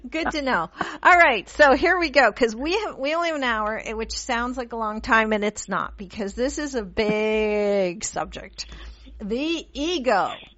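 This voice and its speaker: A woman hosts a radio talk show in casual, animated chat, laughing often and restarting her sentences. The recording is dry and somewhat dull, with little room sound. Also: a little high, measured pace, no room sound. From Pakistan, raised in London and Montreal.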